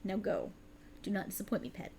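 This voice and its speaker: Woman playing a haughty, creaky-voiced dark goddess. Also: mid-range voice, animated, brisk, heavy background noise. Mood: imperious, satisfied. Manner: condescending, taunting, theatrical, with breathy chuckles. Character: cruel, haughty otherworldly warlock patron, a seductive dark deity. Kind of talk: ASMR roleplay, scripted dramatic monologue.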